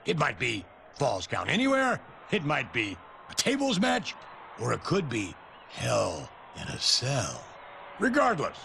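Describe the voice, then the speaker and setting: A man's voice: medium pitch, creaky, sharp tone, heavily acted. A man playing a pompous, domineering wrestling company boss, announcing in a grandiose, emphatic and theatrical manner. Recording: noisy game capture with background ambience.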